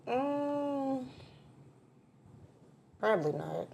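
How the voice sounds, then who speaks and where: A woman's voice: sits high, extremely slow, vocal fry, a little hiss or hum. A woman co-hosting a podcast, telling a casual story and acting out other people's words. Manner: animated storyteller, acts out quotes. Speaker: podcast co-host.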